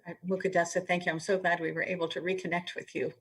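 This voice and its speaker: Husky-voiced woman moderating a panel. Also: on the low side, flat delivery, fast talker, a bit bright. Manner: smooth, polished reading of questions. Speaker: emcee and moderator. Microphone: video call. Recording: distant webcam audio.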